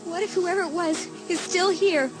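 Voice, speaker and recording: A woman's voice: extremely high pitch, normal speed, over music. An actress playing an uneasy teenage girl in a dramatised audiobook, with an anxious, brooding manner. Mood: frightened calling.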